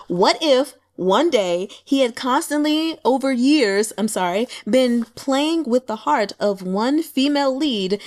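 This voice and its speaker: A woman giving casual, animated book commentary as a channel host, wry and colloquial, often talking as if to the characters. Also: sits high.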